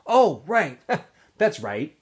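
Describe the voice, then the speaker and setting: A quiet, proud boy from a storybook, soft-spoken and matter-of-fact, his voice lifting and dipping in a lively way, as a male teacher reads him aloud. He gives short, plain answers and sounds sure of himself. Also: sits high, extremely slow, pitched above the performer's own voice, full character voice. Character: quiet clever boy digger, shy and proud.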